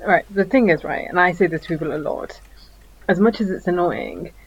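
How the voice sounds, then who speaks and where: A woman's voice: fairly low pitch, animated, brisk, dull audio, slight background noise. A woman talking casually on a podcast, her voice thick and sniffly from a heavy cold. She speaks earnestly, often stumbling and restarting her sentences as she goes. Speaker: podcast co-host. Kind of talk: casual chat.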